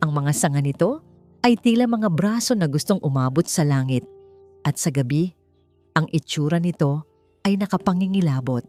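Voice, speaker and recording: A woman with a Filipino accent narrating a story in a measured, dramatic, suspenseful audiobook style. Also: deep voice, lively intonation, warm tone, soft background music.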